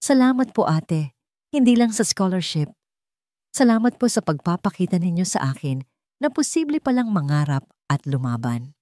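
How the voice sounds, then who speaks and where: A woman's voice: lowish voice, big pitch swings, moderate pace, mellow, no echo, studio quality. A woman with a Filipino accent voicing an earnest, grateful young female student who speaks politely and from the heart. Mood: deeply grateful.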